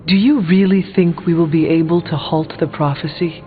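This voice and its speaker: An actor playing a solemn, reserved warrior in a video game, speaking formally. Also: male voice, on the high side, steady tone, moderate pace, natural voice. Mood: guarded, grave. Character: solemn, reserved male demon-hunting warrior. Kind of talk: video game character dialogue. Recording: echoing hall, phone-like audio, faint music.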